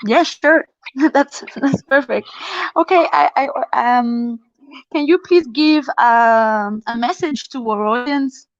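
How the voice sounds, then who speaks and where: A woman's voice: fairly high pitch, slow pace, no room sound. A woman moderating a festival Q&A, hesitant and friendly, with frequent fillers. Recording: dull dry lo-fi audio.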